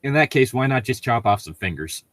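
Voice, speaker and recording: A man's voice: medium pitch, expressive, brisk, a bit bright. A man reading stories aloud as a co-host, with casual joking commentary.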